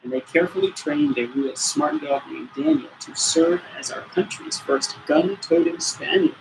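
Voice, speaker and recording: A man reading a children's story aloud with theatrical, deliberate emphasis. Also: on the high side, sing-song, gravelly, background noise. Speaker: storybook reader.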